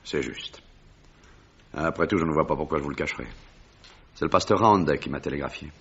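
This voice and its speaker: An actor playing a blunt, proud big-game hunter and explorer in a French radio drama. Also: male voice, rumbling low, a little fast, breathy edge, heavily acted. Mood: earnest, guarded. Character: gruff, imposing bearded lion hunter and African explorer. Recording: dull, muffled vintage radio recording.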